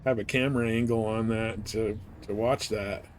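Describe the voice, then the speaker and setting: A man's voice: fairly even intonation, normal speed. Casual male game streamer over background noise. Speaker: live streamer playing a space flight game. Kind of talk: casual gameplay commentary, chatting to viewers. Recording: clear background noise, game engine rumble. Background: spaceship game sounds.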